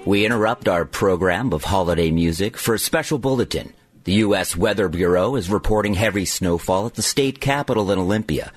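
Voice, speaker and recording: A man playing a composed 1950s radio bulletin announcer with a husky voice and formal, folksy authority. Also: sits low, a little fast, dry recording. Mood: official, composed.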